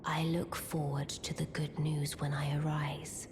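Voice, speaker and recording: A woman voicing a regal, enigmatic doll witch in a video game, hushed, stately and archaic in her speech. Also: sits low, flat delivery, unhurried, heavy background noise. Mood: calm, solemn. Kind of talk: video game character dialogue.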